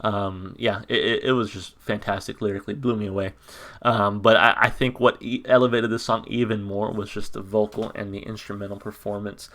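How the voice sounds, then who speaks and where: A man reviewing a song on his channel in a casual, monotone way, full of ums and restarts. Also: on the low side, a little fast. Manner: hesitant, many ums and restarts. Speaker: music reaction YouTuber. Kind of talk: casual reaction commentary.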